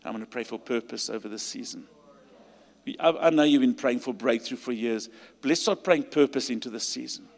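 A man with a South African accent preaching a sermon and leading a call to stand, his delivery rising to emphatic peaks and dropping to quiet pleading prayer. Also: medium pitch, fairly quick, vocal fry. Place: large church hall. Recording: long hall reverb.

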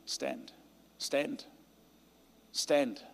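A man with a South African accent preaching a sermon and leading a call to stand, his delivery rising to emphatic peaks and dropping to quiet pleading prayer. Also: medium pitch, steady tone, drawn-out words, creaky. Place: large church hall. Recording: long hall reverb.

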